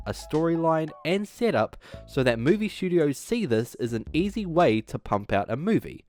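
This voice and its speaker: A man's voice: mid-range voice, a little mellow. A man hosting a video essay, reading a script with emphatic delivery and dropping in casual asides.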